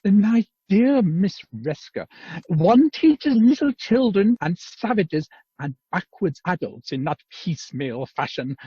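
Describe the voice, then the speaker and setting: A man's voice: sits high, big pitch swings, slow pace, dark tone, breathy edge, no echo, exaggerated voice. A man acting a self-assured, pedantic inventor in an amateur radio play, speaking pompously and precisely over a muffled video call. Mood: smug, lecturing.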